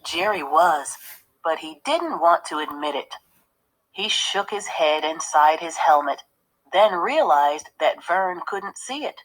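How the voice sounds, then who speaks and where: A woman reading a children's story aloud in an expressive narration style. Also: deep voice, takes it slowly, low-quality recording. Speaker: storybook reader.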